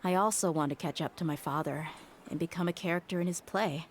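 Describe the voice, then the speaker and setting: An actress playing a tough, candid young female mercenary in a video game, wistful but resolute, speaking in a measured, reflective way. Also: fairly low pitch, a little hiss or hum, close to an everyday voice. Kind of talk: scripted game dialogue. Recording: prominent music under the voice.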